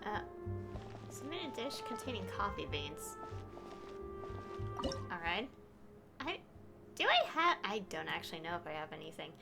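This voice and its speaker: A woman's voice: mid-range voice, wildly swooping pitch, measured pace, gravelly, long pauses, music in the background. A woman streaming a game with casual, rambling, chatty, giggly commentary.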